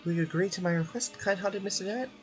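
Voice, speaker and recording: Man putting on a high, pleading witch. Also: fast, soft background music, close to an everyday voice. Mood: polite pleading. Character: polite pleading storybook witch.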